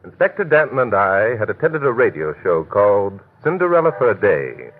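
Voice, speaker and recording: Suave radio detective, animated delivery. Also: male voice, fairly low pitch, brisk, a little hiss or hum. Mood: composed explaining. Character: suave, assured male amateur detective explaining crimes. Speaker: detective and story narrator. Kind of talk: old-time radio drama acting. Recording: muffled vintage radio recording.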